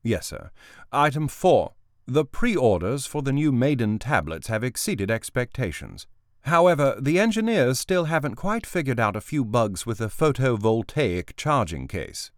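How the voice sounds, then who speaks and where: A man's voice: mid-range voice, very animated, unhurried, no echo, studio quality, voice pushed up. A man voicing a dutiful male personal assistant who speaks formally and politely. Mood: deferential.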